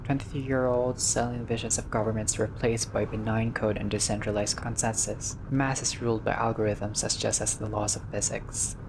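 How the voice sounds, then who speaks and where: A man doing a casual game playthrough, reading dialogue aloud and reacting with expressive, slangy asides. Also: on the low side, normal speed, vocal fry, hardly any pauses, background noise. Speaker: gaming video player reading dialogue aloud.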